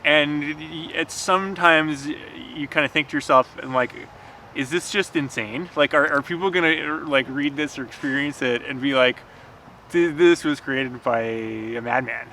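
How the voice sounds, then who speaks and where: A man chatting casually as a writer guest, in a halting way with repeated false starts. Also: a little high, pushed and bright. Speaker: screenwriter guest. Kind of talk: casual chat. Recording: clearly noisy outdoor urban ambience.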